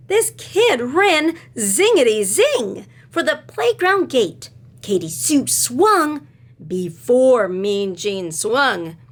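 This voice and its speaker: High, animated woman reading to children. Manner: addresses children, dramatic emphasis. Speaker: read-aloud storyteller, teacher-like. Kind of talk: expressive children's picture-book read-aloud.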